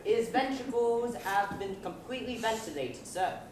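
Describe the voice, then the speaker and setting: A young woman on stage playing a ponderous, deadpan police inspector in a farce, solemn and halting. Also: a little high, close to an everyday voice. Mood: ponderous solemnity. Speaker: student actor in a one-act comedy. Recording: echoey hall with faint noise.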